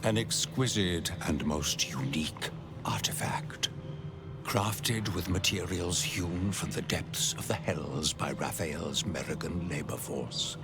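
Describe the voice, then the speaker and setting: An actor playing an obsequious, lewd archivist of hell in a video game, with florid, formal, theatrical phrasing. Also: male voice, deep voice. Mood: smooth, officious. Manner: fawning, florid, formal, drawn-out. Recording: game music behind. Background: music.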